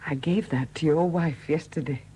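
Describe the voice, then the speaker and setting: An actress in a 1970s British radio drama playing a widowed murderess who confesses in a hesitant, confiding manner. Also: female voice, sits low, moderate pace, mellow, slight background noise, lightly acted, low-quality recording. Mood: weary confession. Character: quiet confessing murderess, a Welsh sculptor's widow.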